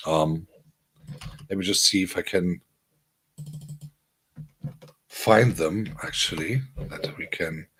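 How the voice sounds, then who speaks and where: A man's voice: low voice, slow, pauses often, fairly bright tone, a little hiss or hum. A man hosting a casual livestream, rambling through announcements for his viewers with many fillers and restarts. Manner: friendly, announcing upcoming streams to viewers. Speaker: livestream host and DJ.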